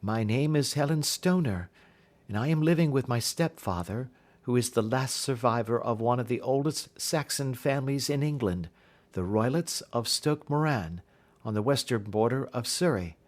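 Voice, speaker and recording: A man voicing a frightened young gentlewoman who pleads for help in formal Victorian speech, in an audiobook. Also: mid-range voice, normal speed, close to an everyday voice. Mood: explaining. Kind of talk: audiobook character voice.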